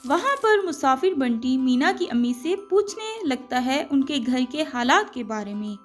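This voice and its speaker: High-pitched woman narrating a children's story. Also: big pitch swings, measured pace, faint music behind.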